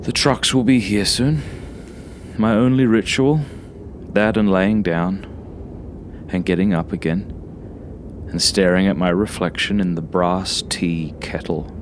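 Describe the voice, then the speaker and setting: A man reading a first-person horror story as a narrator, in a measured, dramatic manner. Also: low-pitched, slight background noise.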